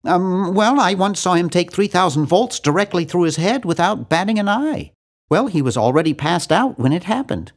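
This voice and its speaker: A man voicing a nerdy, earnest and anxious young hero in a video game, with a fussy, animated delivery. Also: high voice, normal speed. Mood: earnest, polite. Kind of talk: video game character voice lines.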